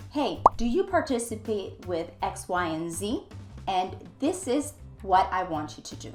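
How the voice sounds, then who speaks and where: Woman coaching in an echoey room. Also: medium pitch. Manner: emphatic, repeats key phrases for stress. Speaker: dental practice coach and trainer. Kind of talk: instructional coaching talk to camera.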